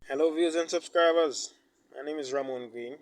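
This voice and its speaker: A Jamaican man who runs his own channel talks through interview questions in an easy, unhurried way. He pauses often and fills the gaps with ums and you-knows. A steady hiss of background noise sits under his voice. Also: a little high. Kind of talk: casual interview answers about his life. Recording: noisy background.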